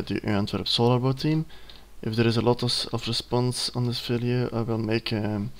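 A young man in his twenties, a native Dutch speaker with a Dutch accent, hesitantly talking through a screen-recorded software tutorial, with many ums and self-corrections. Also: medium pitch, vocal fry. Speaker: student giving a tutorial. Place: at a computer, indoors.

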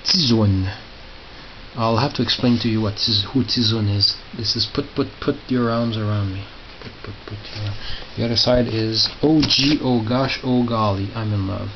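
A middle-aged man giving casual, halting commentary as he reads out titles and mutters asides. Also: fairly even intonation. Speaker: record collector showing his collection. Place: small tiled indoor room by storage crates. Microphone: handheld camera held by the speaker. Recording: muffled, noisy low-grade camera audio with clicks.

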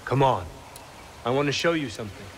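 An actor playing a confident, impatient Jedi knight in a video game, with an earnest and assured delivery. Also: male voice, medium pitch, animated, fairly quick, slight background noise, close to an everyday voice. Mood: playful, assured. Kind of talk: cinematic video game acting.

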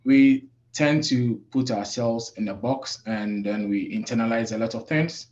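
A man from Africa who works as a safety professional, speaking on a webinar panel in a measured, reflective way with many pauses. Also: mid-range voice, darkish tone, highs cut off. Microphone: video call.

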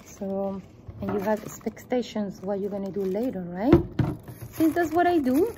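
A woman's voice: mid-range voice, moderate pace, heavy background noise. A middle-aged woman whose first language is not English leads a step-by-step children's craft lesson at a table, patient and encouraging, often repeating herself. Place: indoor room with table, at a desk.

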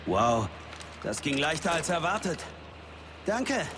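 An actor playing a defiant, foul-mouthed soldier in a shooter game, brash and combative. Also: male voice, fairly high pitch, sing-song, takes it slowly. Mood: angry, defiant. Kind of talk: dubbed shooter game acting. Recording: loud game ambience noise.

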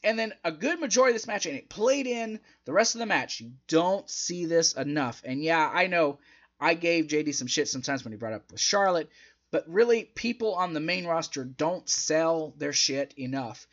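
Animated young man with a higher voice. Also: moderate pace, muffled recording. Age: young adult. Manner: conversational, emphatic, swears casually. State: strained, sore throat. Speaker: wrestling review host. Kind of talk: casual opinionated match review. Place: small indoor home room. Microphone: desk microphone close in front.

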